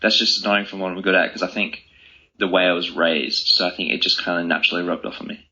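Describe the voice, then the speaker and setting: A man's voice: sits low, steady tone, fast, sharp tone. A man giving earnest, reflective answers as an interview guest in a conversational style. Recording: slightly dull, lo-fi sound.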